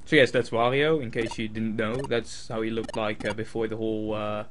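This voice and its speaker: Rambling Dutch-accented young gamer. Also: male voice, lowish voice, little pitch movement, normal speed, a little hiss or hum. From Netherlands. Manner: rambling, self-mocking, many fillers. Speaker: let's play host. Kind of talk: casual game commentary. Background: video game music.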